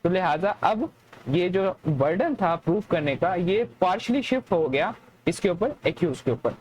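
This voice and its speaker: A woman's voice: fairly low pitch, expressive, measured pace, a little mellow, dull audio, a little hiss or hum. A woman with a Pakistani accent giving an explanatory law lecture in a didactic way, mixing in English terms and often answering her own questions. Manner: didactic, repeats points and poses questions then answers them.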